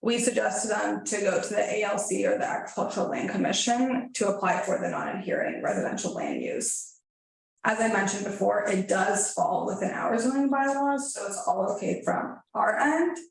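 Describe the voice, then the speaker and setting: A woman staff planner presenting a formal report to a council, then answering questions in a measured, slightly tentative way. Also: mid-range voice, animated, creaky. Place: council chamber. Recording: very echoey council chamber.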